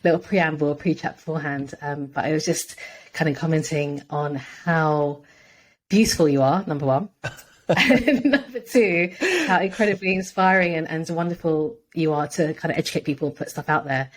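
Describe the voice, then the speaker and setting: A woman's voice: low voice, normal speed. A woman hosting a podcast interview, effusive and full of fillers and restarts. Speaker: podcast host. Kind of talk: warm interview chat.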